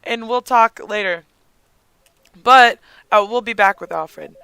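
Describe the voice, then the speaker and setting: Hoarse, squeaky gaming host, slow and giggly. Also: pushed and bright, no room sound. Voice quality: raspy and squeaky. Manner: giggly, rambling, trails off with ums. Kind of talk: casual let's-play commentary.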